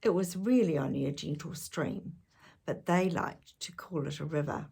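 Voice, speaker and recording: A woman reading a children's storybook aloud, with measured, expressive narration for young listeners. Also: sits low, vocal fry, breathy edge.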